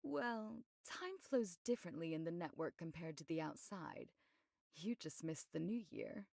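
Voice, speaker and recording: A composed, discreet woman investigator from an anime game. Her voice sits slightly low with a clear fry in it. She briefs in a measured, matter-of-fact way, recorded close and dry. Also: very animated, mellow, no echo, heavily acted. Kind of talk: scripted anime game voice acting.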